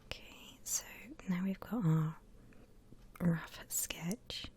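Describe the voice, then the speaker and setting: A woman whispering gently and breathily as she guides listeners through a drawing, in the style of an ASMR tutorial. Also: on the low side, fairly even intonation. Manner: gentle, unhurried step-by-step explaining. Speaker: host of an art ASMR channel.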